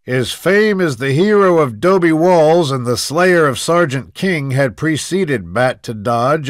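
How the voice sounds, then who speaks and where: Man voicing a deliberate Old West lawman reminiscing. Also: medium pitch, dry recording, studio quality, lightly acted. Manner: measured, deliberate, literary storytelling. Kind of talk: dramatic reading of printed quotes.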